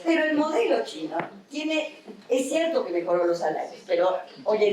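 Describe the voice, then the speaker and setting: A middle-aged Mexican woman addresses a seminar at an even, mid-range pitch, laying out her points in a measured, explanatory way and checking in with the room through tag questions. She sounds set back from the microphone, and her voice rings through a large, very reverberant hall. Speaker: academic panelist. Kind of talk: seminar talk. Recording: very echoey hall from far off.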